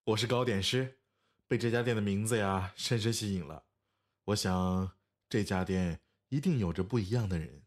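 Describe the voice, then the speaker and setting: An actor playing a calm, courteous young pastry chef in a radio drama, a soft-spoken, polite man. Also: fairly low pitch, normal speed, close to an everyday voice. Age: young adult. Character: calm, courteous handsome 28-year-old male pastry chef.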